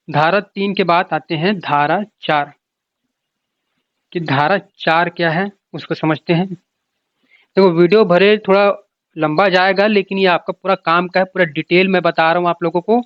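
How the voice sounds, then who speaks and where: A man's voice: sits high, fairly even intonation, a little slow, dry recording. A man giving an informal explanatory lecture as an exam coach, repeating points and asking then answering his own questions. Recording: dull lo-fi sound.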